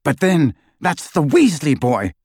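A man's voice: a little high, very animated, a little slow, slightly rough, no room sound, studio quality, voice pushed up, heavily acted. A man voicing an eager, impatient aristocratic man. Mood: excited.

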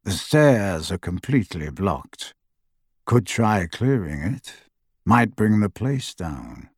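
A man voicing a cool, terse dark-wizard henchman in an audiobook, speaking in a sharp, low-key way. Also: very animated, slow pace, husky, no room sound, studio quality, heavily acted. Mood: cool, unimpressed. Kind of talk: audiobook character voice.

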